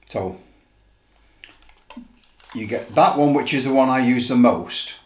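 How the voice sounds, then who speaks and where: A man in his seventies giving an informal how-to demonstration in a halting, deliberate way, repeating phrases as he goes. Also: medium pitch. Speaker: presenter of a hobby how-to video. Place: indoor office room. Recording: roomy echo, background noise and clicks.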